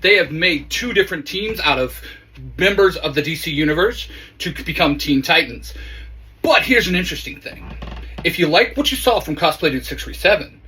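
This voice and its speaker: Bright, fairly high-voiced man co-hosting. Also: a little hiss or hum, low-quality recording. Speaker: co-host. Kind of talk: casual geeky chat.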